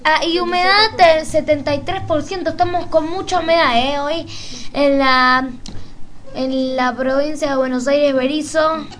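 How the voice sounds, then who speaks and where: A woman with an Argentine accent co-hosts on the radio. She has a very high voice and a loose, conversational delivery. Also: fairly even intonation, a little slow, a bit bright. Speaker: radio co-host. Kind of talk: casual radio chat, reading weather.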